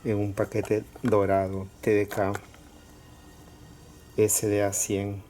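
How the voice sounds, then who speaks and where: Slow, low-key man over background noise. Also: completely flat delivery, warm tone. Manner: halting, pausing between short phrases. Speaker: collector showing cassettes for sale. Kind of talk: casual product showcase. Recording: clear background noise with clicks.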